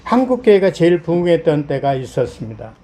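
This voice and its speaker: A middle-aged man from South Korea preaching a Sunday sermon as a pastor, explaining point by point in a voice slightly hoarse from a cold. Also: a little high, big pitch swings, brisk, mellow, a little hiss or hum.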